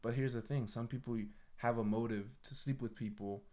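A man's voice: medium pitch, steady tone, fairly quick, dark tone. A man hosting a podcast in a casual, conversational way, rambling and correcting himself as he explains. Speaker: podcast host.

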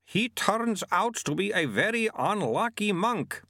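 A man's voice: high voice, sing-song, unhurried, sharp tone, dry recording, clean studio sound, pitched above the performer's own voice, full character voice. A man voicing a slightly intellectual hunter in a storybook, earnest, literal-minded and puzzled in a pedantic way. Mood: earnest deduction.

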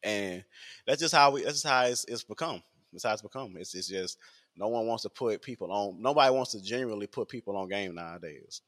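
A man's voice: fairly low pitch, lively intonation, fairly quick, fairly bright tone. A man, talking in a rambling casual podcast monologue full of fillers and repeats. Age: 20s.

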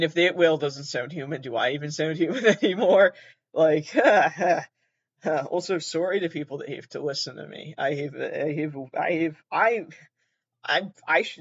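A man's voice: fairly high pitch, dry recording, dull audio. A man hosting a podcast in a very nasal voice, rambling casually, swearing freely and laughing at himself. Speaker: podcast host.

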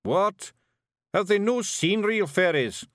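A man voicing a gruff, scornful fairy warrior in an audiobook. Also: sits high, animated, slow pace, no room sound, clean studio sound, voice pushed up. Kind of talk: audiobook character voice.

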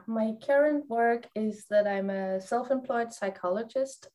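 Soft-spoken Greenlandic-accented woman. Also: mid-range voice. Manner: soft, thoughtful, with pauses and fillers. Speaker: guest psychologist. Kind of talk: interview answers.

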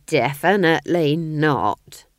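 A woman reading aloud, voicing an earthy, bossy older woman witch with a slow, teasing drawl. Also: low-pitched, animated, dry recording, studio quality.

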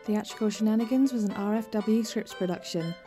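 A woman's voice: mid-range voice, fairly quick, dark tone, soft background music. A woman hosting a podcast, chatting conversationally as she reviews audio drama. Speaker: podcast host.

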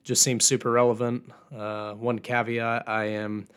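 A young man talks through his solo podcast, his voice hoarse after being sick. He speaks in an earnest, casual way, often pausing on ums and uhs as he works through his points. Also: mid-range voice, little pitch movement, fairly bright tone. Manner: casual, filler-heavy, earnest. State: hoarse from recent illness. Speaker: podcast host.

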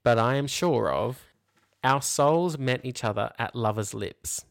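Australian podcaster, mid-pitched, dry close recording. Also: measured pace, no room sound. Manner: mocking, incredulous commentary. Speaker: podcast co-host; junior primary school teacher. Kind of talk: casual comedic chat, reading letters aloud.

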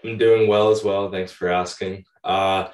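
Male co-host, young, fairly low and slightly stuffy-nosed, casual sports breakdown, echoey off-mic room sound. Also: level tone, unhurried, darkish tone. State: a little stuffed up. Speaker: podcast co-host. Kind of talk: casual fantasy football analysis. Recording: roomy echo, distant from mic.

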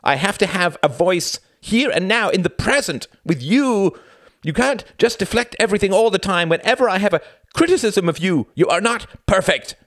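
A man's voice: medium pitch, huge pitch swings, fairly quick, gravelly, few pauses, a bit bright, no echo, clean studio sound, pitched above the performer's own voice, full character voice. A man playing a sarcastic, furious young husband, ranting and condescending in acted audiobook dialogue. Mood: mad frustration. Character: enraged sarcastic husband, young graduate student in a failing marriage.

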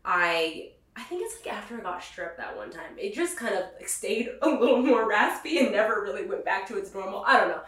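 A young woman from California with a naturally raspy voice, nasal from a cold, co-hosting a casual podcast chat. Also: mid-range voice, animated, brisk, hardly any pauses, a bit bright, echoey room, far from the mic. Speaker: podcast co-host.

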